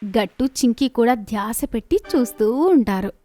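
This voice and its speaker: A woman reading scripted narration for an animated children's story. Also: a little high, normal speed, faint music behind.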